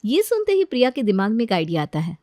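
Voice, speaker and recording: High-voiced woman storyteller, dry recording. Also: huge pitch swings, fast, a little mellow, dry recording. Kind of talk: scripted expressive story narration.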